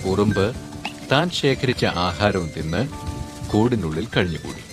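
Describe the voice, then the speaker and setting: Man, children's story narrator, steady storytelling, fairly low voice, clear music underneath. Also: unhurried. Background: background music.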